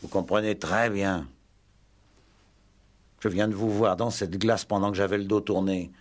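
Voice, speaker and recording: Calm, probing radio-drama inspector; fairly low voice, deliberate delivery, never lets go. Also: male voice. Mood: stern, pressing. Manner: measured, deliberate, pressing questioner. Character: calm, probing male police inspector, quietly relentless. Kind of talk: 1960s French radio play acting.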